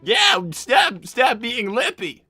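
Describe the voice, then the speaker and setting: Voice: medium pitch, sing-song, slow, sharp tone, husky, no echo, faint music behind, full character voice. A performer voicing a young boy fox in an improvised cartoon livestream, an awkward sidekick who stammers and gets defensive.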